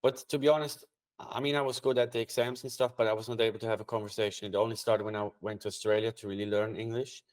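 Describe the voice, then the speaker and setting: A man's voice: lowish voice, flat delivery, fast talker. A man from Switzerland whose first language is Swiss German, speaking English with a Swiss German accent as a guest on an interview podcast, plain and matter-of-fact. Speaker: trader and guest. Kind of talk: casual interview chat.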